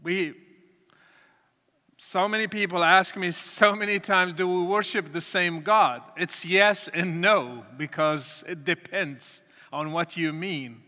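Man, high bright tone, measured preaching testimony. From Morocco. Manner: earnest, deliberate, with pauses and restarts. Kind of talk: testimony talk to an audience. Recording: reverberant hall.

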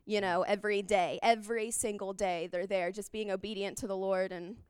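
A woman's voice: medium pitch, fairly even intonation, fairly quick, a little mellow, clear, resonant tone, no echo, studio quality. A woman giving an informal testimony about a mission trip, earnest and casual as she searches for her words. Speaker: mission trip speaker at church.

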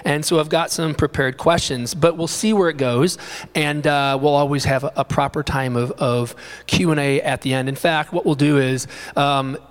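A man's voice: on the high side, steady tone, moderate pace. A man hosting a live interview before a small community group, friendly, informal and chatty. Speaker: host and interviewer. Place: small community gathering room. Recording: long reverb in a hall.

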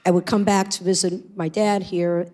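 Middle-aged woman, Puerto Rican accent, native Spanish speaker, lowish mellow voice, earnest testimony. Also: little pitch movement, moderate pace. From Puerto Rico. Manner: earnest, reflective, with frequent ums. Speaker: church member sharing testimony. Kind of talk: personal testimony on stage.

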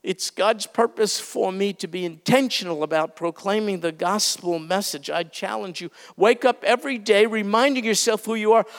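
A man's voice: high voice, normal speed, few pauses, long reverb tail. A male pastor preaching a sermon, earnest and exhorting, building emphasis as he urges his listeners.

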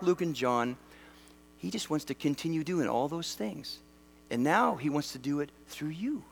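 A middle-aged man from Western Canada preaching a sermon in a lively, conversational way, with self-deprecating humor. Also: a little high. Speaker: pastor. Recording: long hall reverb.

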